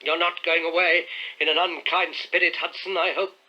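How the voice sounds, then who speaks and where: A man's voice: fairly high pitch, flat delivery, normal speed, sharp tone, highs cut off, pitched above the performer's own voice. A man voicing an anxious old country squire, a meek and pleading, affectionate father, in an audiobook.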